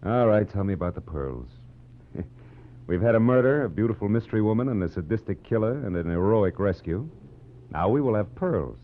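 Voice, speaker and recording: Man acting a very deep-voiced, sardonic American private eye. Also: animated, fairly quick, close to an everyday voice. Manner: sardonic, clipped, world-weary. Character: cynical, tough, wisecracking male private detective. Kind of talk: 1940s radio detective drama acting with first-person narration. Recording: dull, noisy, humming 1940s radio recording.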